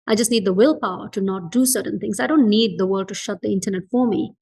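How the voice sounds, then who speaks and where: A woman from Fiji talks as a guest in a conversational interview. Her mid-pitched voice comes across earnest and reflective. She repeats words to drive a point home. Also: fast talker, creaky, darkish tone, husky. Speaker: entrepreneur being interviewed as a guest.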